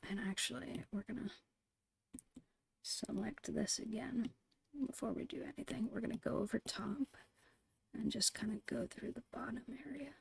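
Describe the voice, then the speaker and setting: Breathy, whispering woman with heavy creak. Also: fairly high pitch, no echo. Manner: soft, unhurried murmuring, thinking aloud. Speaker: ASMR digital art streamer. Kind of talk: ASMR, casual step-by-step painting commentary. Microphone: close microphone, dry.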